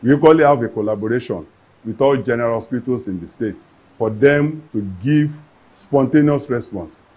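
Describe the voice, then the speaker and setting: Husky official, earnest tone. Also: male voice, medium pitch, moderate pace, mellow, low-quality recording. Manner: earnest, official, with some restarts. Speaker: agency official. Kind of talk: news interview answers.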